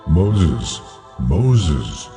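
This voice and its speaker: Divine figure, very low pitch, mellow dark tone, solemn, authoritative, measured, scripture drama. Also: male voice, very animated, soft background music, full character voice. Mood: solemn, commanding.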